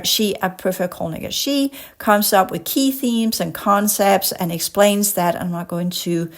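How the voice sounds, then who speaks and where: A woman's voice: medium pitch, gravelly. A woman with a Danish accent hosts a podcast in English, her second language. She reads an article aloud point by point, then drifts into passionate tangents of her own. Long technical words sometimes trip her up. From Denmark. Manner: passionate, digresses into rants; stumbles over long words. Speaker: podcast host. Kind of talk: reading an article aloud with commentary.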